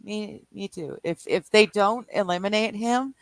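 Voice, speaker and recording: A guest on a Discord call with a mid-pitched voice, chatty and hedging. They laugh often and keep the talk loose. The line sounds dry and close. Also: a little slow, no room sound. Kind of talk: casual online chat. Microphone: remote call over Discord.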